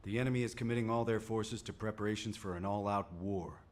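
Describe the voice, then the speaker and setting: An actor voicing a calm male commander giving briefings in a game. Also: fairly low pitch, quick pace, close to an everyday voice. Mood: serious.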